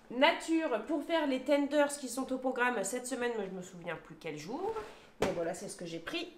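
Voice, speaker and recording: A woman's voice: a little high, reverberant, noisy background. A woman in her thirties chatting casually to a phone camera at home, listing her grocery haul item by item with many hesitation fillers. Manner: chatty, lots of hesitation fillers, lists items one by one.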